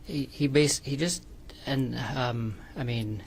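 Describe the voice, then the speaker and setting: A man testifying as a defendant in court with a creaky voice, hesitant and stammering, often repeating his words. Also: mid-range voice, slow, a little hiss or hum. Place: courtroom witness stand.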